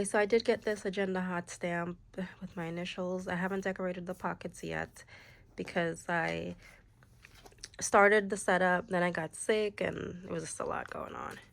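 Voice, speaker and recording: A young woman with a slightly low voice and a stuffy, nasal sound from a cold talks through her planner pages in a relaxed, chatty way. She drops in the odd "like" and "um" as she goes. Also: creaky. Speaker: planner vlogger. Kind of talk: casual show-and-tell planner walkthrough.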